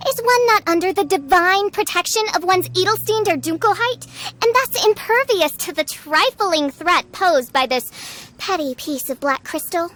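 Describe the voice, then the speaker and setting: A woman's voice: very high-pitched, expressive, normal speed, fairly bright tone, soft background music. A woman voicing a haughty, theatrical self-styled young princess in a video game, speaking in grandiose, archaic phrases. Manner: pompous, archaic, grandiloquent speech. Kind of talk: video game character acting.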